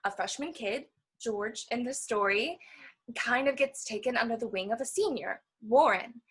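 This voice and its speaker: A woman's voice: on the high side, moderate pace, fairly bright tone, slightly rough. A woman playing a nervous, eager young aspiring novelist in a stage play, hesitant, stammering and prone to rambling. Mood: flustered, earnest. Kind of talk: one-act stage play dialogue.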